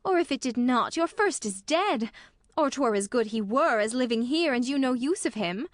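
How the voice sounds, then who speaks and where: A woman's voice: sits high, animated, normal speed, no echo, studio quality. A woman playing a chatty, practical nursemaid in a Shakespearean dramatic reading, gossipy and persuasive. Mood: coaxing, gossipy. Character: chatty, practical nursemaid coaxing her young mistress.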